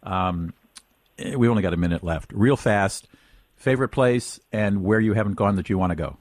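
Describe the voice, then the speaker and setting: A man's voice: lowish voice, normal speed, no echo, studio quality. A man hosting a travel radio show, interviewing in a conversational style.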